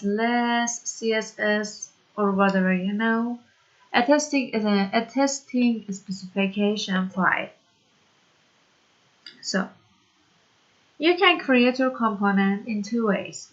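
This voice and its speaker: A woman from Iran, whose first language is Persian, teaching a programming tutorial in slow, hesitant English full of fillers. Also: medium pitch, fairly bright tone, muffled recording. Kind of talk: screen-recorded coding tutorial.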